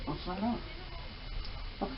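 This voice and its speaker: A young male speaker chatting casually with family at home in a homemade prank video. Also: unhurried. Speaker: prankster older brother filming a home video. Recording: muffled, very noisy home camera audio.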